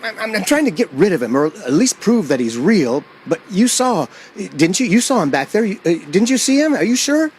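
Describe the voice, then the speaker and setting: A man's voice: high-pitched, very animated, brisk, hardly any pauses, a little hiss or hum. A man playing a frightened, haunted driver in a radio play, agitated and stammering as he pleads and narrates. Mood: frantic. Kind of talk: radio play acting, dramatic first-person narration.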